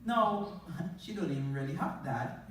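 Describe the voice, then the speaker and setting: A man's voice: on the high side, a little slow, far from the mic. Laughing Trinidadian man, echoey hall. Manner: laughs often, animated storytelling, asks the crowd. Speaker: motivational speaker. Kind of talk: humorous talk to a live audience. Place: large hall in front of an audience.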